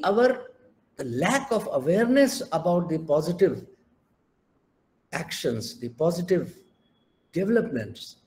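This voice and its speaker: A man's voice: sits high, a little mellow, poor audio. An older man with an Indian English accent, a native Hindi speaker, giving an emphatic keynote lecture over an online call and mixing Hindi into his English. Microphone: online video call.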